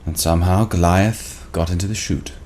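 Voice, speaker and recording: A man's voice: sits low, steady tone, normal speed, a little mellow, lightly acted. An actor reading a suave, crude Frenchman in a screenplay table read, with a smug, teasing delivery.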